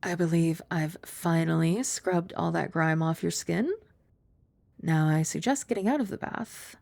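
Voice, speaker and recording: A young woman performs a poised young maid who speaks in formal, courteous phrases and slips in dry, gentle teasing. Her voice is mellow and dark with a gravelly fry running through it. It is recorded close and dry, with no room sound at all. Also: on the low side, unhurried, dry recording. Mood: calm. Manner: soft-spoken, dropping to whispers at times. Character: composed, dryly teasing young female maid and childhood friend. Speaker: ASMR roleplay performer. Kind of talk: ASMR roleplay.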